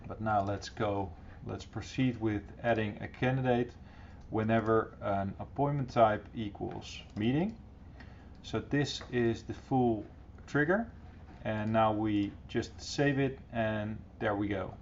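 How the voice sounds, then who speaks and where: A middle-aged man presenting an informal, encouraging software tutorial in a casual style, recorded on a computer microphone. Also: lowish voice, takes it slowly. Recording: dull, slightly noisy computer recording.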